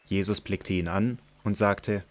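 A man narrating scripture in a measured, solemn reading for a radio drama. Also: deep voice, fairly even intonation.

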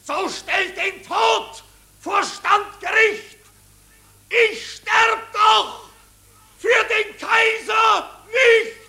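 An actor playing a defiant dying soldier in a radio drama, declaiming verse in a strained, impassioned manner. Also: male voice, very high-pitched, steady tone, drawn-out words, gravelly, a faint crowd, heavily acted. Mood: shouting defiance. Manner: strained, declamatory, rising to shouts.

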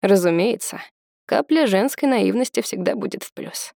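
A woman voicing a composed, gallant middle-aged businessman in an audiobook who speaks calmly and politely. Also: medium pitch, moderate pace, vocal fry, no echo, studio quality. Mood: approving.

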